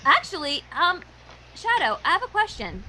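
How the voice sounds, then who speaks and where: A woman's voice: very high-pitched, sing-song, normal speed, a crowd in the background, poor audio. A woman chatting casually on an online voice call in an eager, tentative way. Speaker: amateur voice actor, livestream guest. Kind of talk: casual chat.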